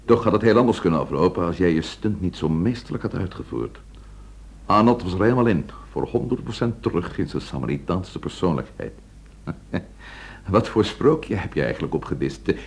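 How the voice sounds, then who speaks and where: An actor playing a brisk, pragmatic police chief in a radio drama, with a businesslike, dry manner, on an old noisy recording. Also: male voice, low-pitched, lively intonation, vocal fry, slightly rough, full character voice. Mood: satisfied, probing.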